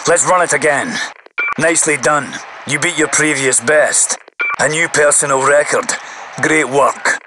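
An actor playing a stern military commander, giving clipped video game mission orders through a radio filter. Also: male voice, fairly high pitch, background noise. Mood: stern, disappointed, then measured approval.

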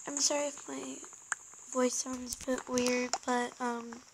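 Scratchy, high-pitched woman, slow and hesitant. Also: level tone, gravelly. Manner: hesitant, many ums, drifting into soft whispers. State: sore throat, scratchy voice. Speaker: gameplay commentator. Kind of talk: casual game commentary. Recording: loud background hiss with tapping clicks.

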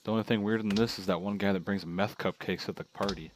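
A man's voice: fairly low pitch, fairly even intonation, fast talker, background noise. A young man chatting casually and telling rambling jokes while playing a game with a friend. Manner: joking, rambling storyteller. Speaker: gamer. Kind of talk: casual co-op gaming banter.

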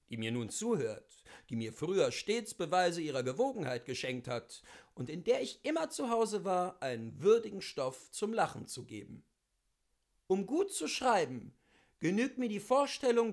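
A man reading as a witty, worldly philosopher of seventy-two, telling his memoirs in a theatrical, rhetorical and deliberate literary style. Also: sits high, full character voice. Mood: amused, self-assured.

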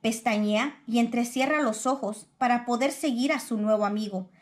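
A woman reading a children's picture book aloud in expressive storybook narration. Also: on the high side, moderate pace.

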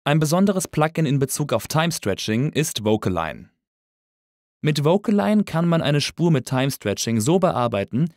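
A male instructor reads a tutorial script. His mid-pitched voice moves expressively while he explains things in an orderly way. The sound is close and dry, like a studio voice-over. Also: darkish tone, no room sound. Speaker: audio engineering instructor. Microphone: close studio voice-over mic.

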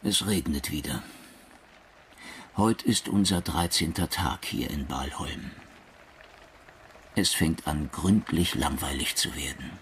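A man's voice: a bass voice, takes it slowly, long pauses, slight background noise. An actor playing a grave officer who confides his worries in intimate letters to his wife in a radio play. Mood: weary, reassuring. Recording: loud music bed under the voice.

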